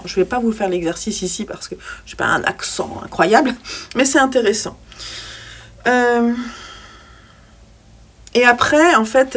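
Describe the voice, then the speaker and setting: A young woman talking through a poetry book she read, hesitating often with fillers and restarts, and now and then reading passages aloud. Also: a little high. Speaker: book vlogger. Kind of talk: casual book review with read-aloud poems.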